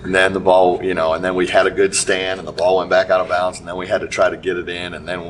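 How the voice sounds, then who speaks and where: A middle-aged man, a basketball coach giving a halting post-game interview full of restarts and fillers. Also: low voice, flat delivery. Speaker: high school basketball coach. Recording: distant mic with faint background noise.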